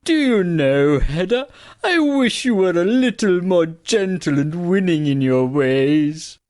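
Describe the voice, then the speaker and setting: An actor playing an earnest, excitable male suitor in a comic parody play, fussy, exclamatory and melodramatic. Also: mid-range voice, slow, no room sound, clean studio sound. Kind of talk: comic stage-play acting.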